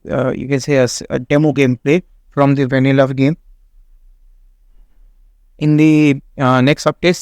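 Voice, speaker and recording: Non-native english guy walking thru a strategy game, flat even delivery, lots of uhs, clean dry close sound. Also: a little high, clean tone, dry recording. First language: not English. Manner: halting, many fillers and restarts. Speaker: gaming channel host. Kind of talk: casual game walkthrough commentary.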